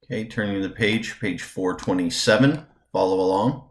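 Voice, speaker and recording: A man's voice: fairly low pitch, moderate pace. A man speaking casually as a teacher who guides a read-along. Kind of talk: casual classroom direction.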